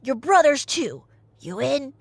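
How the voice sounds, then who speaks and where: A woman's voice: high voice, big pitch swings, slow pace, creaky, no echo, full character voice. A woman voicing a brash young male god as a chummy, conspiratorial persuader in a myth retelling. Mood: sly, eager. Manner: conspiratorial, slangy salesman patter.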